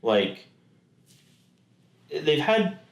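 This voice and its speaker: A man's voice: fairly high pitch, sing-song, very slow, vocal fry. A man co-hosting a podcast in casual chat, rambling with many restarts and quoted asides. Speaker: podcast co-host. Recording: echoey room.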